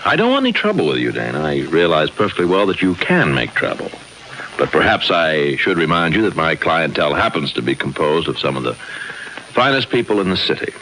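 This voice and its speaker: An actor playing a smooth-talking, menacing gambling club boss in a 1950s radio drama, formal and coolly condescending. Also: male voice, rumbling low, big pitch swings, fairly quick, sharp tone, heavily acted. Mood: smooth, warning. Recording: faintly noisy old radio recording.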